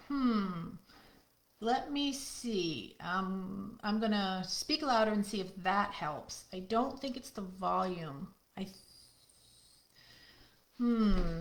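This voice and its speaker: A middle-aged woman with a loud, projecting voice, chatting casually with viewers as she hosts a live card-making stream. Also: mid-range voice. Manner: chatty, friendly, talks to viewers by name. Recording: echoey room.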